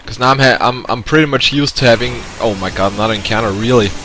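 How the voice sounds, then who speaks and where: A man's voice: medium pitch, fast talker, a bit bright. A man with a German accent, a native German speaker, chatting casually with viewers while playing a video game, rambling and restarting his sentences. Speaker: speedrunner. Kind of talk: casual live-stream commentary over gameplay. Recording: faint game music behind.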